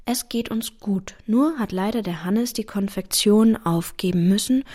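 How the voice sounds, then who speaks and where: An actress playing a hopeful young newlywed wife in a radio drama, tender, spirited and earnest. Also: female voice, mid-range voice, a little slow, darkish tone, close to an everyday voice. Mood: soft, hopeful. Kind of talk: German radio play acting.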